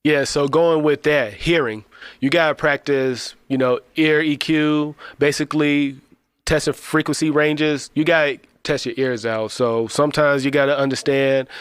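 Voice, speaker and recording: A man's voice: fairly high pitch, fairly even intonation, normal speed, smooth, no room sound. A man co-hosting a podcast, casually explaining things in short phrases and lists. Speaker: podcast co-host. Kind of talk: casual instructional talk.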